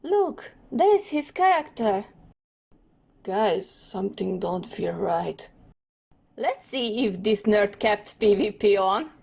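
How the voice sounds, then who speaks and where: Casting: woman as a casual, excitable young gamer lad for a comic dub, taking his time over the lines. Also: mid-range voice, slow pace, gravelly, husky, exaggerated voice. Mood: suspicious. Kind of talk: comic dub character acting.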